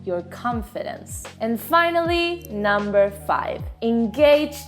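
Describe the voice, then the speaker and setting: A woman in her twenties, a native Spanish speaker with an Argentine accent, gives an upbeat, clearly delivered lesson straight to the camera from a cozy room. Her voice sits a little high, and soft music plays quietly behind her. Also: very animated, takes it slowly. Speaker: Spanish tutor. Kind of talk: scripted online lesson to camera. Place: indoor living room with plants and fairy lights. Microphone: seated to camera, medium framing.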